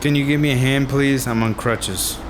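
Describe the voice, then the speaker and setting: Sullen mid-pitched American man, played by an actor. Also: fairly even intonation, moderate pace, background noise, natural voice. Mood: irritable. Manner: curt, withdrawn. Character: sullen, dejected American man, weary and curt. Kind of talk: serial radio drama.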